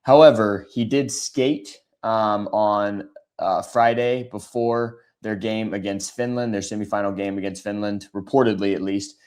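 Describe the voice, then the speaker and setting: A man with a raspy, hoarse voice hosts a sports podcast, talking through the news in a casual, conversational way with frequent ums. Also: lowish voice, steady tone, measured pace, darkish tone. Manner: conversational, many filler ums. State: hoarse from shouting.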